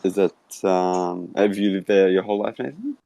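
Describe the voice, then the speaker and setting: A man's voice: low-pitched, moderate pace, vocal fry, warm tone, no echo, poor audio. An Australian man from Sydney hosting an online audio room, chatty and easygoing, laughing easily. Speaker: host. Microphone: online voice call.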